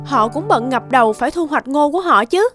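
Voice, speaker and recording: A woman voicing a wise, reassuring mother bird in an animated folk tale, patiently explaining. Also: sits high, a little fast. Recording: music behind the voice.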